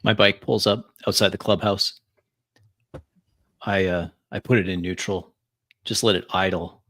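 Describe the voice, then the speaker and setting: A man narrating his character's actions in a tabletop roleplay, in measured, dramatic short phrases. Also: deep voice, fairly even intonation, a bit bright, no room sound, studio quality. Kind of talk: immersive first-person roleplay narration.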